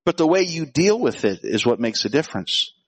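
High-voiced man preaching conversationally. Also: sing-song, a little fast, husky. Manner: conversational, repeats himself, fires rhetorical questions. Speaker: pastor. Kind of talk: preaching a sermon.

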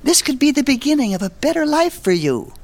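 An actress playing a kindly, concerned social worker in a dramatised scene, questioning gently and patiently. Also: female voice, high-pitched, big pitch swings, fairly quick. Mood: concerned, encouraging.

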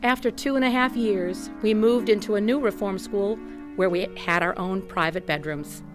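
A woman's voice: medium pitch, moderate pace, over music, close to an everyday voice. An actress narrating as a tough, candid woman recounting a troubled childhood in a scripted radio drama. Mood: reflective.

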